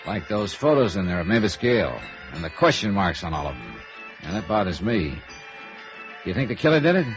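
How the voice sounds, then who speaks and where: Low-voiced, wry, animated radio noir detective. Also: male voice, a little fast. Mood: probing, wry. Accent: American. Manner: conversational, wry, inquisitive. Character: wry, probing middle-aged male freelance insurance investigator. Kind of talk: 1950s radio noir acting and narration. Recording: dull vintage radio recording, music behind.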